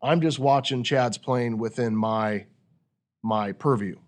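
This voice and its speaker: A man's voice: medium pitch, slow. A man hosting a podcast in a conversational coaching style, instructive and fond of rhetorical questions. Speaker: podcast host leading a discussion.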